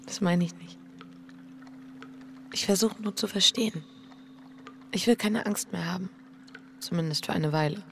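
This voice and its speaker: An actress playing a reflective, troubled married woman in an intimate, hushed radio drama. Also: on the low side, moderate pace, pauses often, a little hiss or hum. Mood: earnest, resolved. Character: reflective, troubled married woman facing her fear and guilt. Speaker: lead actress and first-person narrator.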